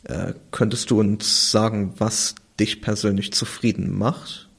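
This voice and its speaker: A man's voice: fairly low pitch, level tone, unhurried, gravelly, heavy background noise. A nineteen-year-old man leading a casual youth podcast round, hesitating with fillers as he passes the questions on. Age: teens. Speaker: podcast moderator. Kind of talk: casual round-table chat.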